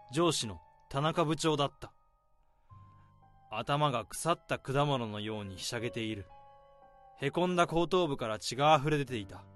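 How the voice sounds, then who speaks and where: A man in his thirties narrating a radio drama in the first person, in a slow, subdued, deadpan way. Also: medium pitch. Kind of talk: radio drama inner monologue.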